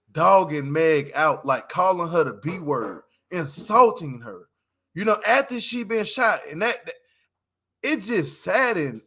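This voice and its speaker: A man's voice: a little high, sharp tone, slightly rough. A man giving casual, opinionated livestream commentary as host, talking in an emphatic, repetitive, slangy way.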